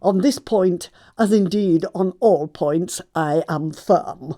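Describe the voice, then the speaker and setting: An actress playing a haughty, imperious older aristocratic aunt in a stage comedy, pompous and deliberate. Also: female voice, fairly low pitch, mellow. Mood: haughty, firm. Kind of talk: theatrical stage comedy acting.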